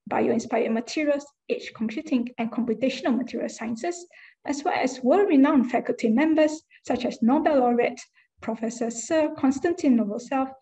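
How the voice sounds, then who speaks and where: A woman's voice: high-pitched, dark tone, poor audio. A young woman moderating a university webinar, reading a formal introduction with a few fillers and slips.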